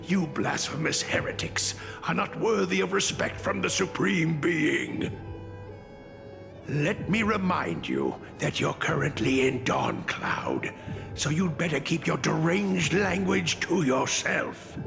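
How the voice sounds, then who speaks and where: An actor playing a scornful, pious zealot priest in a video game, with an imperious and contemptuous manner. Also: male voice, on the high side, big pitch swings, takes it slowly, gravelly, heavily acted. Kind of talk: dramatic game voice acting. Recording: background music behind the voice.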